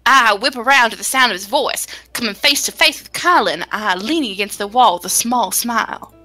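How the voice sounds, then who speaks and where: A woman's voice: fairly high pitch, normal speed, bright, forceful tone. A woman narrating a visual novel among friends, slipping between scripted reading and giggly, self-mocking, casually sweary asides. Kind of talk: casual group read-aloud, narration mixed with joking.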